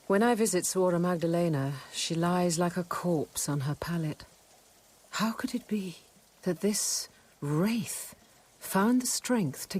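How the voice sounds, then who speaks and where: Low-voiced, sober narrating nun. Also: female voice, a little mellow. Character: sober, observant convent nun narrating her story. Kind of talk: scripted radio drama narration.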